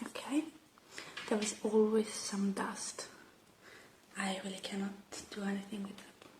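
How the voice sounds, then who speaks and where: A woman doing a gentle, unhurried ASMR roleplay, speaking softly and slipping into breathy whispers close to the microphone. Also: medium pitch, level tone, slight background noise. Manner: gentle, unhurried, murmuring to the listener. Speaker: ASMR creator playing a robot repair technician.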